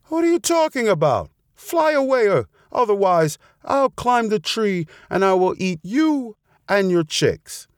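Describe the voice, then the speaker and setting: A man's voice: fairly high pitch, very animated, measured pace, no room sound, studio quality, pitched above the performer's own voice. A man voicing a wily, haughty female fox who bullies and schemes, in a storybook tale. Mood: angry, menacing. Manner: haughty, bullying. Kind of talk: storybook character voice.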